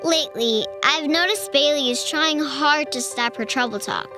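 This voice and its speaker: A woman reading a children's storybook aloud in a deliberate storytelling manner. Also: high voice, music in the background.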